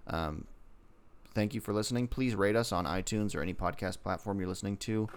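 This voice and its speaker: A man's voice: lowish voice, fairly quick, clean tone. A man co-hosting a casual podcast, joking and teasing as he rambles into asides about pop culture. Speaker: podcast co-host.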